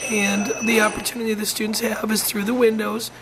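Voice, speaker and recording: Hoarse, halting narrator with a flat voice. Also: medium pitch, over a noisy crowd, poor audio. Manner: halting, frequent ums and restarts. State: hoarse, losing voice. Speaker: wolf center educator. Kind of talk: informal voice-over narration.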